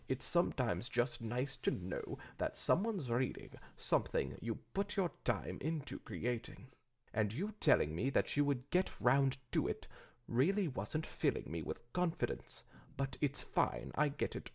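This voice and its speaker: A man's voice: medium pitch, creaky, slightly rough, exaggerated voice. A man voicing an eager but insecure young female pegasus writer, sincere and a little hesitant, in a fanfiction reading.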